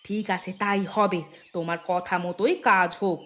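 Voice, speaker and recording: A woman's voice: mid-range voice, fairly even intonation, moderate pace, fairly bright tone, lightly acted. Woman acting a scheming, insistent village gossip. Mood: gloating, conspiratorial. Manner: insistent, dramatic, conspiratorial. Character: scheming, gossiping, vengeful village sister-in-law. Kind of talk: Bengali cartoon voice acting.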